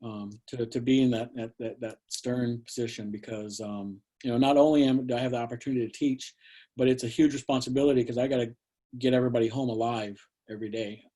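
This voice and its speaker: A middle-aged man speaks over a video call from a small studio shed. His tone is mellow and unhurried, and he wanders through his thoughts with plenty of fillers and restarts. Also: mid-range voice, steady tone. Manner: rambling, many fillers and restarts. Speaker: guest artist. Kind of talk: casual conversational talk.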